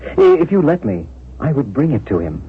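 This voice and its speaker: An actor playing a polite, earnest nephew in a 1970s radio drama, speaking and narrating in a courteous, measured way. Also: male voice, mid-range voice, sing-song, husky. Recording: dull, faintly hissy 1970s radio recording.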